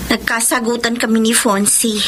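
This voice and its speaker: A Filipino actress playing a jealous, sulky younger sister, a university student, whiny and resentful in a radio drama. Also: female voice, a little high, little pitch movement, moderate pace, background noise, lightly acted. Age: young adult.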